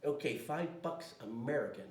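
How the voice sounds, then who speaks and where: A man's voice: medium pitch, lively intonation, normal speed, close to an everyday voice. A man playing a cantankerous, foul-mouthed Canadian bait shop owner in a comedic table read. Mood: friendly. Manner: profane, cantankerous, barking insults. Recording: echoey room, distant from mic.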